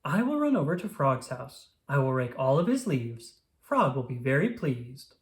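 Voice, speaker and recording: A reader voicing a fretful, excitable male toad, an anxious and loyal friend, in a children's storybook. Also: mid-range voice, moderate pace, lightly acted. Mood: determined. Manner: worried, exclamatory. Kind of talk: children's storybook read-aloud.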